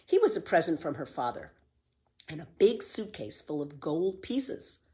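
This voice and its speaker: A woman reading a children's book aloud as a storyteller, with expressive, emphatic scripted narration. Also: sits low, moderate pace.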